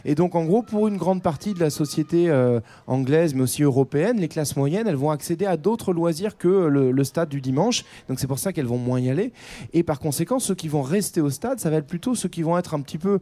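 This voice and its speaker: Mellow-voiced man analyzing society. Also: a little high, lively intonation. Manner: measured analytical explaining with hesitations. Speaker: podcast co-host. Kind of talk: casual history discussion.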